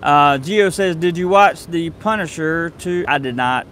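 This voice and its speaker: A man talks casually to his viewers, pitched a little high. He rambles, pausing on fillers and restarting his sentences. Faint city traffic murmurs behind him. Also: a bit bright. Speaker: travel vlogger reading live chat. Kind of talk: casual live stream chat. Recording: faint street noise behind.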